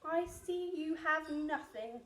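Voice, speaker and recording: Storybook rat robber, acted by a woman, greedy, boastful, declamatory, unhurried menace, roomy echo. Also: high voice, a bit bright. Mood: menacing, boastful.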